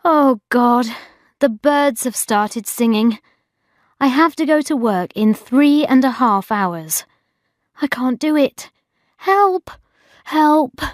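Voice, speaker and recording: A woman's voice: a little high, takes it slowly, dry recording, clean studio sound, pitched above the performer's own voice. Woman acting an anxious, melodramatic single woman. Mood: despairing. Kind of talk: audiobook diary character acting.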